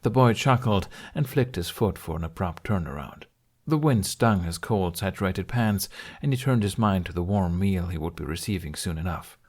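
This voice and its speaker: Guy narrating an audiobook, lowish voice, reading a story. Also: expressive, moderate pace, a little mellow.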